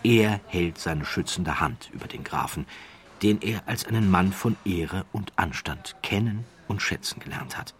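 A low-pitched man narrates a scripted crime story as an audiobook. His voice has a husky grain, and he places phrases deliberately, leaning on key words with dramatic pauses. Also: no room sound.

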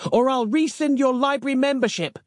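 A man voicing a stern, bossy and ardent female librarian with a breathy, scolding delivery for a comic audiobook. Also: medium pitch, sing-song, brisk, darkish tone, no room sound, studio quality. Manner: panting, scolding.

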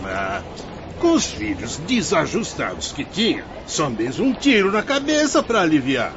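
Dude playing a mean sneering gossip, high voice jumping wildly, noisy muffled radio play. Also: male voice, huge pitch swings, measured pace, heavily acted. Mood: scornful. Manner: scornful, mocking. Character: callous, sneering male townsman gossiping. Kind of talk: radio drama acting. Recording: muffled track with noise.

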